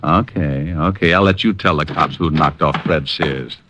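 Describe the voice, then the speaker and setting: A man's voice: rumbling low, normal speed, highs cut off, noisy background. An actor playing a wisecracking male private detective who trades dry, sarcastic quips in an old radio drama. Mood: sardonic. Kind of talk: 1950s radio drama acting.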